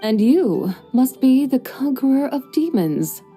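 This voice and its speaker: A woman's voice: high voice, big pitch swings, measured pace, dark tone, faint music behind. A woman voicing an ancient, playful female horse spirit who likes to show off, speaking in archaic, poetic phrasing with a wry, amused tone.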